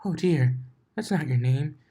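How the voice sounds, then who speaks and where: A man's voice: on the high side, measured pace, mellow, slightly rough, voice pushed up. Fluttershy, a man doing a whispery shy mare. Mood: timid. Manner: whispery, hesitant, apologetic. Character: shy gentle young mare, soft and fretful.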